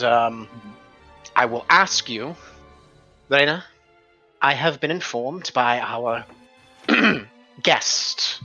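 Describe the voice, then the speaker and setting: Guy playing dnd, bright voice, casual, bit muffled, music in the background. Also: medium pitch. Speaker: player in a tabletop roleplaying game. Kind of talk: casual in-character and table talk. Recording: muffled lo-fi audio under faint music.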